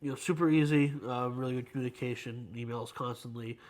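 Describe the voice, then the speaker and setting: A man's voice: mid-range voice, fairly even intonation, a little fast, a little mellow. A man answering casually as an interview guest, speaking in a plain, informal way as a fire officer. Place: firehouse kitchen.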